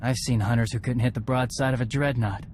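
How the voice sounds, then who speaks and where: Man acting a quick-talking, chatty game sidekick. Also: medium pitch, fairly even intonation, a little mellow, slight background noise, natural voice. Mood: admiring. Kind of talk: video game cutscene acting.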